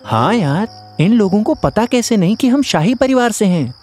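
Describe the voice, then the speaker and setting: A performer voicing a boastful, easygoing young boy prince in an animated fairy tale, with a casual manner. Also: medium pitch, normal speed. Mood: puzzled, agreeable. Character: boastful, easygoing young boy who believes himself king.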